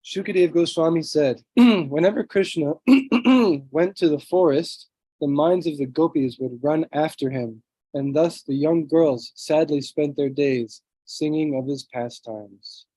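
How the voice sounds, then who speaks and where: Man with a low, mellow voice reading scripture. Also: no echo, poor audio. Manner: reads translations aloud, pauses to clear throat. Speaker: class speaker. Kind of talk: scripture class reading over video call. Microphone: computer mic on a video call.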